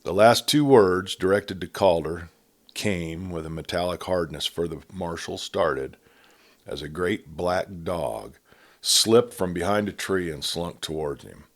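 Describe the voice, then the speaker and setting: Deep-voiced male storyteller, measured pace. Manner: measured, steady storytelling delivery. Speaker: storyteller reading a novel aloud. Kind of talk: audiobook narration of a Western.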